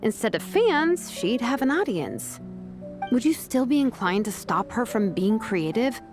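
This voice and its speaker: An actress playing a confident vice captain and teacher in a video game, speaking casually, diplomatically and persuasively. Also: female voice, on the high side, very animated, a little slow, a little mellow, faint music behind.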